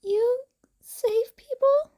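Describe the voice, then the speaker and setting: A small girl's voice, acted by a woman narrator, very high and gentle. She sounds innocent and curious, with a timid edge suited to an audio story. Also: extremely slow, exaggerated voice.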